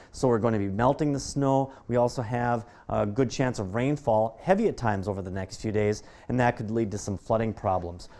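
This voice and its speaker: A man's voice: medium pitch, mellow. A man with an American accent presenting a weather forecast on television in an informal, explanatory way. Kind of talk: live broadcast weather forecast, conversational.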